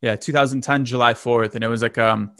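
A man's voice: medium pitch, steady tone, fairly quick, a bit bright, no room sound, studio quality. A man in his late twenties from Lithuania, telling his story in a casual conversation, rambling with many fillers and restarts. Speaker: guest.

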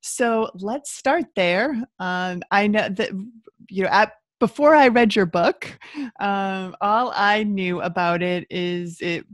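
A woman's voice: mid-range voice, slow pace, dry recording. A woman hosts a podcast interview in an upbeat, chatty way, with plenty of "um"s and "uh"s. The recording is dry and close, with no room sound. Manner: enthusiastic, chatty with fillers. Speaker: podcast host.

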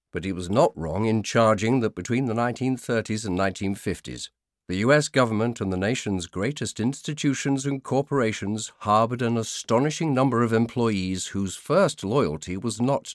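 A man narrating a scripted nonfiction audiobook in a measured, formal manner. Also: medium pitch, no room sound, clean studio sound.

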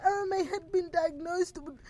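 Actress as very high-voiced sorrowful village woman. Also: moderate pace, mellow. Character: sorrowful village woman bearing bad news. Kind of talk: audio drama acting.

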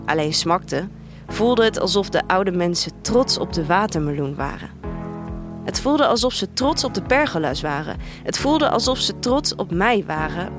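An actress playing an introspective young woman who narrates her life in a dystopian future, in measured, deliberate first-person literary storytelling. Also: lowish voice, close to an everyday voice. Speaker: narrator of a radio drama. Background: music.

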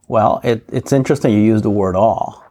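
A man's voice: fairly low pitch, fairly quick, dark tone. A man whose first language is Portuguese, talking reflectively in a casual podcast discussion and often adding a questioning "right?". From Portuguese-speaking country. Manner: reflective, asks rhetorical "right?".

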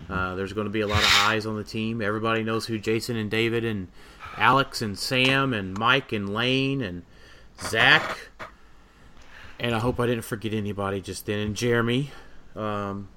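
A man hosting a casual gaming podcast, rambling and often pausing to gather his thoughts. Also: fairly low pitch, steady tone, background noise. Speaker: podcast host and competitive gamer. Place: voice recording for a group podcast.

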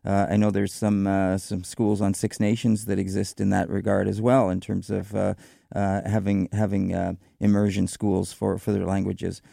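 A man hosting a radio talk show, interviewing a guest in a measured way with some fillers. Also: sits low, little pitch movement, vocal fry, mellow, no room sound, studio quality. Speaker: radio host. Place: radio studio.

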